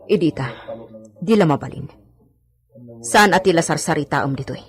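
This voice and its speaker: A woman's voice: low-pitched, lively intonation, normal speed. A woman acting a stern, scolding older female household servant in an Ilocano radio drama.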